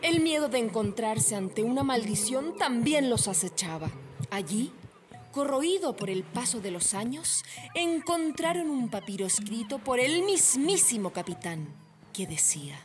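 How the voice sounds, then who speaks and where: A woman's voice: a little high, big pitch swings, unhurried. A woman narrating a scripted radio story in a dramatic, suspenseful storyteller's manner. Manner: theatrical, suspenseful. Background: faint music.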